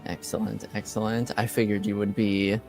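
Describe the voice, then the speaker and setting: A man acting as game master, improvising descriptions for a tabletop game and often pausing mid-phrase to find his words. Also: fairly low pitch, flat delivery, vocal fry, a little mellow, soft background music.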